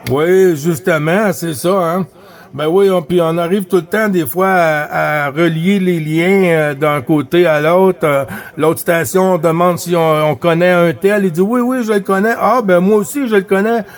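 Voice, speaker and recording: Chatty Quebec man, slightly high voice. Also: fairly even intonation, unhurried. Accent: Quebec French. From Quebec, Canada. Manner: chatty, friendly, repeats phrases and radio codes. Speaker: amateur CB radio operator. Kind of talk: casual radio chat.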